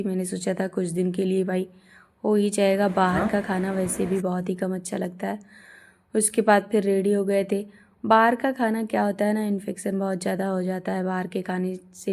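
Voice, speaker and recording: A woman in her thirties with a hoarse voice, chatting homely and warmly to her viewers as she narrates a travel vlog. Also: mid-range voice. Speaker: vlogger.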